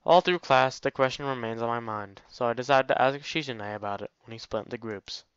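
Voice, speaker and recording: A man's voice: medium pitch, fairly quick, a bit bright, clean tone, dry recording, highs cut off. A man hosting a let's play, reading a visual novel aloud informally, with jokey asides and stumbles over words.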